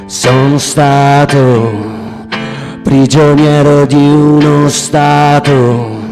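A man playing a defiant fallen protester in a slow, half-sung theatre recitation over acoustic guitar. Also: fairly high pitch, level tone. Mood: exalted, intense. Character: defiant fallen young protester, exultant while dying. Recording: long hall reverb.

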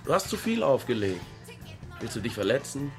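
Stern male fight coach, lively intonation, curt, instructive, radio play, music in background. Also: mid-range voice, moderate pace. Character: stern, aloof male purist fight trainer. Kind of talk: radio drama acting. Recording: music behind the voice.

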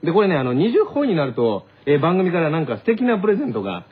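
A man's voice: a little high, wildly swooping pitch, fast, a crowd in the background. A man hosting a light comedic radio show, reading listener mail in an amused, mock-formal way. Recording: slightly dull radio recording.

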